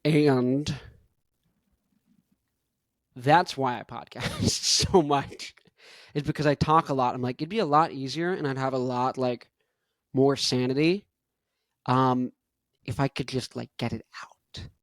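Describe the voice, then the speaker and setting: A young man hosting his own podcast in an energetic, rambling monologue full of "like". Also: mid-range voice, slow, pauses often, no echo, clean studio sound. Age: young adult. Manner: rambling, energetic, heavy use of "like". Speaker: podcast host.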